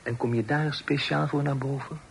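An actor playing a witty young brother in a period radio play, bantering with mock pomposity and old-fashioned phrasing. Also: male voice, medium pitch, steady tone, normal speed, creaky, close to an everyday voice. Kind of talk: Dutch radio play acting. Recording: noisy old radio drama recording.

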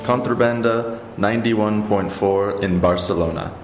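Low-voiced male radio announcer over loud guitar. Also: steady tone, unhurried, echoey room. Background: loud plucked guitar music.

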